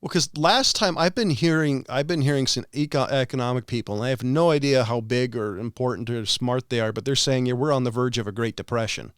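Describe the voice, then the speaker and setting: A man's voice: medium pitch, fairly quick, few pauses, clean tone, dry recording. A man from California making his case on a podcast, earnest and a little argumentative. His voice sits mid-range and sounds dry and close to the mic. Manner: argumentative, earnest, poses questions. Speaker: podcast co-host. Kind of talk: casual chat.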